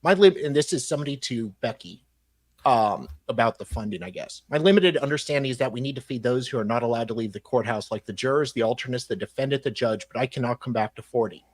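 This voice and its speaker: A man's voice: medium pitch, fast talker. A man on a live panel, giving dramatic readings of emails.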